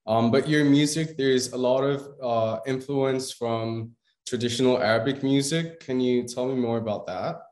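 A man's voice: medium pitch, moderate pace, darkish tone. Enthusiastic male interviewer, roomy sound. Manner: enthusiastic, praising. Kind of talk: interview questions.

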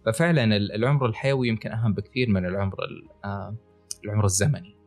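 A man's voice: on the low side, racing, faint music behind. A man with a Saudi Gulf accent co-hosting a health podcast, talking in an explanatory, conversational way. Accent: Gulf Arabic, Saudi. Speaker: podcast co-host, writer and wellness coach.

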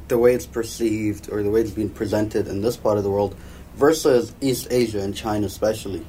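A man's voice: lowish voice, fairly even intonation, brisk, dark tone. A man co-hosting a podcast, explaining points and often checking in with "right?". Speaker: podcast co-host. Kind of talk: casual chat. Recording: faint background noise.

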